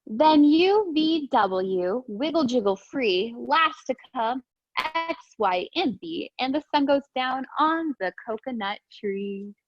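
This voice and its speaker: A woman with a fairly high voice reads storytime books as a preschool teacher. She goes very slowly, with a sing-song, encouraging delivery. The sound is dull and lo-fi, close and dry. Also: expressive, no echo. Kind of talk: animated read-aloud for young children.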